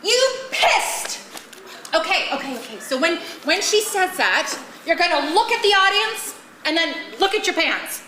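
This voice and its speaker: A woman's voice: very high voice, very animated, a little slow, exaggerated voice. A woman playing a bossy, stubborn director in an amateur stage comedy, theatrical and insistent, devoted to an absurdist playwright. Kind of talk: live amateur one-act stage comedy. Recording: echoey, noisy live theatre recording.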